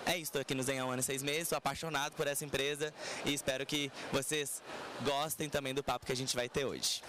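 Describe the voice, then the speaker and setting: A man's voice: medium pitch, flat delivery, quick pace. A man speaking as head of people on a conference panel, in a chatty, playful way full of slang and tag questions. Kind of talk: casual conference panel talk. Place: conference stand. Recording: noisy venue ambience.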